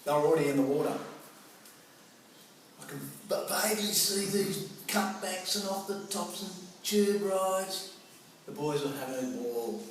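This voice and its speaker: A middle-aged man with an Australian accent tells a personal story in a colloquial, theatrical style. His voice sits fairly high for a man. It is heard at some distance from the microphone in a very echoey room. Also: a little slow. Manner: colloquial, theatrical, acts out remembered dialogue. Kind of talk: animated personal anecdote storytelling. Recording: roomy, echoing, noisy distant microphone.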